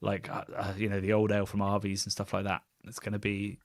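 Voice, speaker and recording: A man's voice: on the low side, monotone, quick pace, no room sound, studio quality. A British man hosting a casual podcast, musing and rambling in a wry way. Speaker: podcast host, beer YouTuber.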